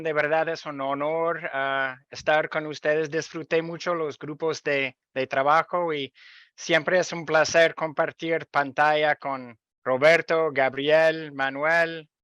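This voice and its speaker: Brief: Canadian-accented male panelist, higher voice, smooth tone, emphatic, over a dull video call. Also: a bit bright, no room sound. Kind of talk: webinar panel talk.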